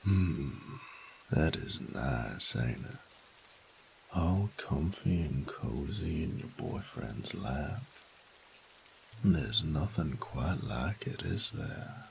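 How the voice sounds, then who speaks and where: A man acts out an affectionate, teasing boyfriend in a romantic audio roleplay. His voice is extremely low and full of fry, drawn out very slowly in intimate murmurs and whispers. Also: expressive, darkish tone, slight background noise, heavily acted, low-quality recording. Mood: cozy, teasing. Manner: slow, teasing, breathy murmurs dropping into whispers.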